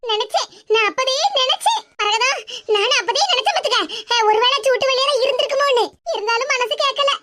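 A woman's voice: extremely high pitch, steady tone, brisk, sharp tone, pitched above the performer's own voice. A woman voicing a fretful elderly village grandmother who pleads and wails. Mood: relieved. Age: older.

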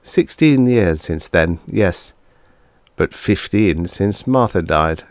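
A man voicing a grieving older male professor who speaks quietly, gently and dully. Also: low voice, expressive, slow pace, no echo, studio quality, heavily acted. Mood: weary.

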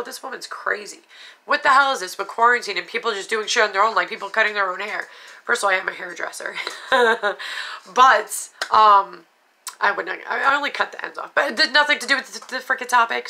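Nasal, chatty woman with a gravelly edge. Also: mid-range voice, sharp tone. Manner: chatty, blunt, drifts off topic. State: congested from allergies. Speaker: vlogger. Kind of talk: casual chat to camera. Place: small room at home. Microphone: phone camera at arm's length.

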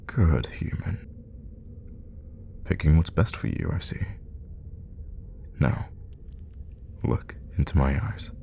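A man voicing a sadistic, teasing male vampire captor in a hushed, intimate ASMR roleplay, with a deep, gravelly half-whisper. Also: expressive, full character voice. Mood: teasing, soothing, sinister. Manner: slow, hushed, menacingly tender with pet names. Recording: long reverb, faint background noise.

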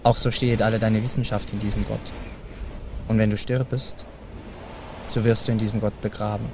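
A man's voice: on the low side, level tone, a little fast, noisy background. A man solemnly reciting archaic religious prose in a declamatory way.

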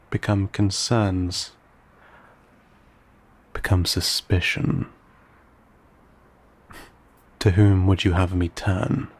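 A man playing an ancient, courteous, guarded vampire in an intimate audio roleplay, speaking in formal, old-fashioned, measured phrases with some hesitation. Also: full character voice. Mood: wistful. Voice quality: low, gravelly, breathy.

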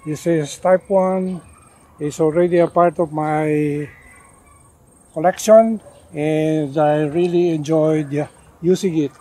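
An older man showing off his denim jacket outdoors, talking casually with lots of pauses and "uh" fillers. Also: a little high, warm tone. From Philippines. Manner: unhurried, many "uh" fillers and restarts. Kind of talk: casual show-and-tell. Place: outdoors in a leafy garden.

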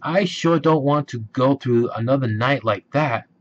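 A man's voice: medium pitch, a little slow, highs cut off, close to an everyday voice. A man voicing a mischievous boy bear cub, a teasing big brother in a storybook, with emphatic delivery. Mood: sleepy.

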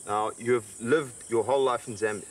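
A man's voice: mid-range voice, steady tone, a little fast. A man interrogating someone outdoors, pressing with repeated questions. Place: outdoors in the bush. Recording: distant outdoor mic, faint insect noise.

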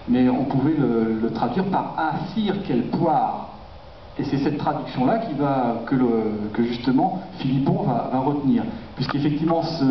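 Man, public lecturer, halting delivery, heavy room echo, faint background noise. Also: mid-range voice, distant mic. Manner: hesitant, searching for words. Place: dark auditorium. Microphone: distant camera in the audience. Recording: echoey, dull, noisy auditorium recording from afar.

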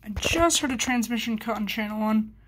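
A woman's voice: on the high side, brisk, a bit bright, a little hiss or hum, low-quality recording. A woman chatting informally in a hobby vlog, rambling and thinking aloud as she goes. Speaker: hobbyist video maker. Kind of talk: casual vlog chat.

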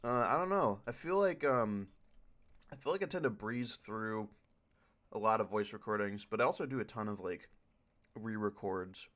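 A man's voice: fairly low pitch, moderate pace, fairly bright tone. A man who voice acts, chatting casually and loosely as a panelist at a Q&A. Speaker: voice actor panelist.

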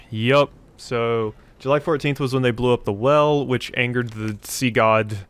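A man with a clean, smooth voice at an average pitch hosts a gaming video. He talks casually, working things out aloud as if to himself. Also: animated, slow, fairly bright tone. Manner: thinks aloud, talking to himself and the game. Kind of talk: casual let's play commentary, reading game notes aloud.

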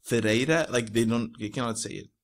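Young male podcast guest, Portuguese accent, mid-pitched voice, casual conversational delivery, close mic. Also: moderate pace, fairly bright tone. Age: young adult. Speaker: podcast guest, indie entrepreneur. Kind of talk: casual interview chat. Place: small room at home. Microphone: close studio mic with pop filter.

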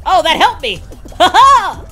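Excitable high-voiced woman gamer. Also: measured pace. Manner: excitable, yelling and laughing. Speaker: gamer playing with friends. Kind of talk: casual gaming chat. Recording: game music behind.

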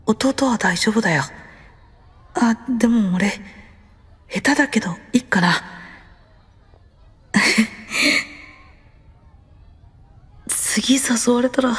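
A woman voicing a lonely, gentle young boy in an audio drama, soft-spoken and hesitant, with a husky, breathy voice. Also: a little high, slow pace, pauses often, no room sound, whispered, exaggerated voice. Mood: shy, then dejected. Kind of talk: Japanese anime-style audio drama acting.

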